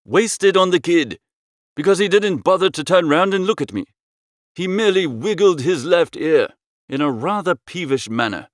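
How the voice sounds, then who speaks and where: A man narrating a comic first-person literary story as an audiobook, in a droll, expressive storytelling manner. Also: on the high side, moderate pace, dry recording, clean studio sound.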